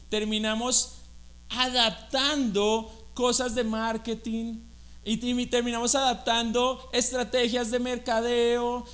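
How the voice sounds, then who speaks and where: A man with a Colombian accent preaches to a church congregation in a lively, conversational way, often turning his points into questions. His voice sits unusually high for a man. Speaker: guest preacher. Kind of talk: sermon.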